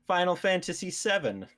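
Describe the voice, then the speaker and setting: A man's voice: high voice, a bit bright. A man hosting a casual gaming podcast, chatting informally with his co-hosts. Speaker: podcast host.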